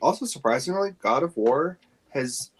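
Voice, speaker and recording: A man's voice: mid-range voice, lively intonation, moderate pace, breathy edge, rough recording. A man co-hosting a podcast, chatting casually and giving his opinions in a conversational way. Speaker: podcast co-host. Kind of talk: casual chat about games and film news.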